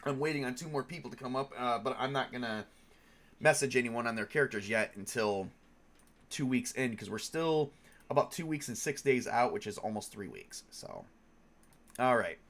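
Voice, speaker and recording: A man's voice: mid-range voice, expressive, normal speed, a bit bright. A man with a cold, stuffed up, chatting casually as a tabletop game master on a stream, rambling with many fillers and restarts. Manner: rambling, lots of ums and restarts. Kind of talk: casual live-stream chat.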